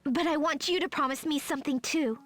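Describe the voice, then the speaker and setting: Very high-pitched, emotional teenage girl. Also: a little fast, fairly bright tone, faint music behind. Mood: sincere, subdued. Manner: tearful, high-strung, earnest. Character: frightened, emotional teenage girl army medic. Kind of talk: dramatic video game voice acting.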